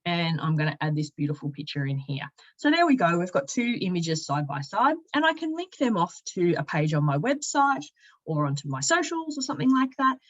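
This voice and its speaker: Woman w lowish voice walking thru software step by step, chatty, bit dull lofi recording. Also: big pitch swings, fairly quick. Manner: explanatory, step-by-step, conversational. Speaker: product demonstrator, company sales and marketing director. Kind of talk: informal software walkthrough narration.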